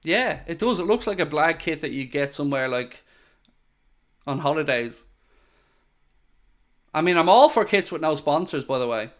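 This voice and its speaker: A man's voice: a little high, normal speed, long pauses. A man hosting a live football watchalong, calling the play and joking with his viewers. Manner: excitable, jokey, addresses viewers directly. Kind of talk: live match commentary.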